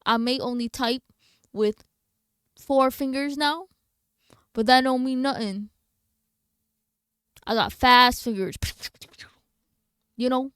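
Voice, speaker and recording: Smooth, higher-voiced woman chatting on stream. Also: slow pace, pauses often, fairly bright tone, no echo, studio quality. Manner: playful mock-defensive banter, slangy. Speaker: video game livestreamer. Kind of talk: casual livestream chat with viewers.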